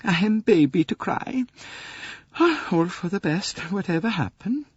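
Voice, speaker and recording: A man voicing a meek, tearful Swedish lady passenger with a halting Swedish accent, in an audiobook. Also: high-pitched, sing-song, slightly rough, dry recording, dull audio, voice pushed up, exaggerated voice. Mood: tearful resignation. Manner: weeping, halting broken English.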